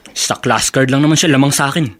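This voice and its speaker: A man's voice: mid-range voice, fairly quick, close to an everyday voice. A man acting in a radio drama as a brash, lustful young male student who boasts and cajoles. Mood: cocky, boastful. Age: young adult. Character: cocky, lustful male student, pleading boyfriend.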